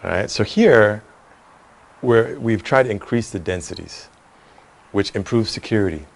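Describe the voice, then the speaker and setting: Low-voiced middle-aged American man. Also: normal speed, gravelly. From Cleveland, United States. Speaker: real estate executive being interviewed. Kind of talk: conversational interview.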